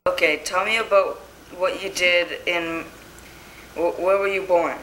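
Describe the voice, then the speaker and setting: A man's voice: high-pitched, steady tone, unhurried, sharp tone, reverberant, slight background noise, poor audio. A young male interviewer asking short prepared questions for a school project.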